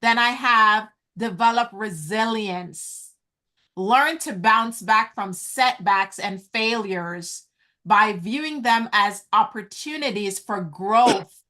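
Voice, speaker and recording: A woman's voice: medium pitch, slow, bright, forceful tone. A woman business coach teaching a group over a video call, earnest and encouraging, calling her listeners guys. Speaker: business coach hosting an online event. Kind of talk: slow, deliberate teaching talk.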